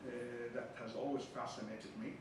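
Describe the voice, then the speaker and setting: A man's voice: medium pitch, steady tone, brisk. A man from Palestine with a heavy Arabic accent, a university chaplain giving a seminar lecture with jokey, teasing asides. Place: lecture room. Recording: echoey room, distant mic, background noise.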